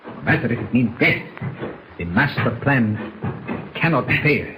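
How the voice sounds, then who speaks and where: An actor playing a grimly resolute villainous spy doctor in a 1940s radio serial, speaking slowly, with emphasis and conspiracy in his delivery. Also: medium pitch, expressive, close to an everyday voice. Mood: menacing, grim. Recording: noisy old 1940s radio recording with clicks.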